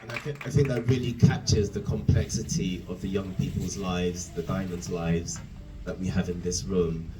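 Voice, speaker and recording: A man, speaking as a mentor to a room, measured with filler ums. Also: low voice, steady tone, recorded from a distance, over loud music, low-quality recording. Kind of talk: reflective talk to an audience. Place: room with an audience. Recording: from across a room, room noise.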